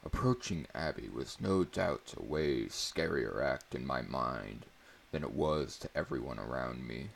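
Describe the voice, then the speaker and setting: Narrator, male: low and creaky, measured dramatic delivery for first-person fiction. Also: animated. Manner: measured, theatrical reading. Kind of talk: dramatic scripted story narration.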